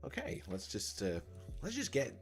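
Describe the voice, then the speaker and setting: A man with a slightly croaky, scratchy throat, casually thinking aloud as he streams a tutorial, with fillers and restarts. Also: medium pitch, wildly swooping pitch, moderate pace. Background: soft background music.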